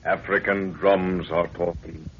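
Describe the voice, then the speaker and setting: A man narrating as announcer of a 1930s radio serial, dramatic, portentous and deliberate. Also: low voice, steady tone. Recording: dull, noisy 1930s radio recording.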